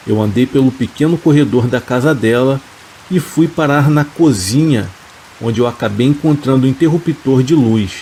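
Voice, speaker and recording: Calm man narrating horror over rain. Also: mid-range voice. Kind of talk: scripted narration of horror stories. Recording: faint rain and hiss behind the voice. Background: rain sounds.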